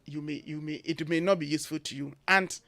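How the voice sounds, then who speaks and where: Ghanaian guy on morning radio, kinda high voice, dry close sound, earnest advice. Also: fairly even intonation, a little fast, creaky, pushed and bright, dry recording. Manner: earnest, emphatic, lists points. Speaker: guest, education agency head. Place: radio studio.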